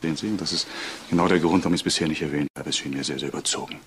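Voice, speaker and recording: An actor playing a polite department head, courteous and halting, choosing his words carefully in a crime radio drama. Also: a bass voice, steady tone, a bit bright, a little hiss or hum. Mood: hesitant, grave. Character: polite, hesitant male department head, formal gentleman.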